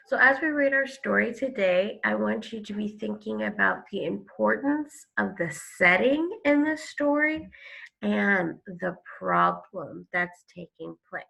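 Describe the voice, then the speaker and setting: Female primary teacher, read-aloud: bright tone, unhurried, some creak, emphatic delivery. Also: medium pitch, poor audio. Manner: deliberate, emphatic, questioning. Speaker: second grade teacher. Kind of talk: instructional read-aloud for children.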